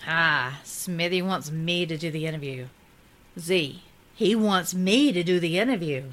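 A woman's voice: fairly low pitch, a little slow. A woman playing a nervous young woman facing an interview in an audio drama. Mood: anxious. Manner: anxious, animated.

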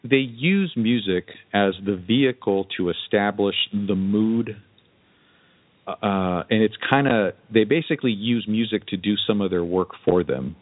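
A man hosting a casual podcast chat, halting as he searches for words. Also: lowish voice, dry recording. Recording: dry, narrow phone-like sound.

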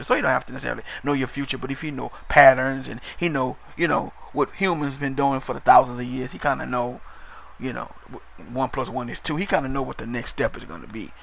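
A man's voice: mid-range voice, vocal fry, pushed and bright. A man preaching a broadcast sermon, emphatic and repetitive, asking "Amen?" and sometimes stumbling over words or speeding up. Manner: emphatic, repeats phrases, call-and-response "Amen?"; stumbles over words, drifts into fast talk.

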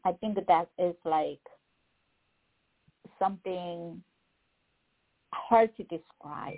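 A middle-aged woman with a Korean accent, a poet speaking as a guest in a casual interview, halting and searching for words. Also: lowish voice. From South Korea. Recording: tinny phone line.

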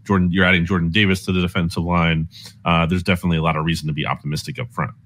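A man's voice: sits low, level tone, racing, a bit bright, a little hiss or hum. A man giving conversational sports analysis as a guest, explaining things in an informal way peppered with "like".

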